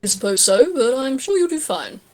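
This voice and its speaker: A woman playing a patient, playful man in a tabletop roleplay, speaking in a measured, musing way. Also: high-pitched, sing-song, pitched above the performer's own voice. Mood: encouraging.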